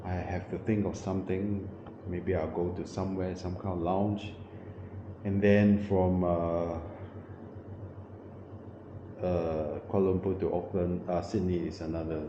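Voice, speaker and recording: Low-voiced man vlogging from a hotel room. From New Zealand. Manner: halting, repeats and corrects himself with many fillers. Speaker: travel vlogger. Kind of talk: casual vlog walkthrough. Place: small windowless hotel room. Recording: echoey room, muffled, noisy background.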